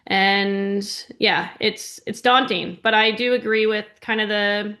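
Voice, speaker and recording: A woman board member giving measured remarks in a meeting discussion, trailing off softer at the ends. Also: medium pitch, fairly even intonation.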